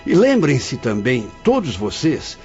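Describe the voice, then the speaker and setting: An actor playing a calm, fatherly spirit director who explains things solemnly, in a radio drama. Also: medium pitch, slow pace, soft background music, heavily acted. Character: venerable male spirit director, gentle and paternal. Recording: dull lo-fi audio.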